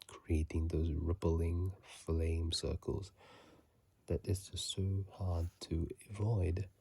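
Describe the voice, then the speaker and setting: A man giving soft, soothing ASMR game commentary in a breathy half-whisper, close to a phone microphone. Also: sits low. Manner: soft, soothing, unhurried murmur with repeated phrases. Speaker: host of a gaming ASMR video.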